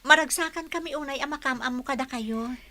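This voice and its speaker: A woman playing an earnest, bashful young student teacher in a radio drama, expressive, with a Filipino accent. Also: high voice, a little fast. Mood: polite, gentle. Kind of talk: Ilocano radio drama acting.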